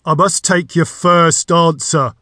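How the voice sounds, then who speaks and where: A man voicing a talking magic door from a storybook, a gruff, self-important gatekeeper with a booming gravelly voice. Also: steady tone, takes it slowly, sharp tone, dry recording, clean studio sound, full character voice. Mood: stern, commanding. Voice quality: deep, gravelly.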